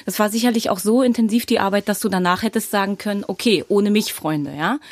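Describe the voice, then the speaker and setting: A woman hosts a relaxed interview, chatty and encouraging as she asks questions. Her voice sounds close and dry. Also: mid-range voice, quick pace, no room sound. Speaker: interviewer, host. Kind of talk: casual interview.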